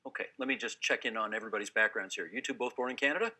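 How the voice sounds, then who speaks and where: Husky, fast-talking male panel host. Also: fairly low pitch, sharp tone. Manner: brisk, quick follow-up questions. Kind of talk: panel interview.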